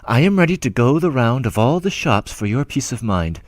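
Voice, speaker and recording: A man's voice: mid-range voice, sing-song, moderate pace, dry recording, clean studio sound, lightly acted. A man reading an audiobook as a humble, timid older clerk who rambles, breaks off and fusses tenderly in his letters. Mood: tender fretful. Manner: rambling, self-interrupting, tender.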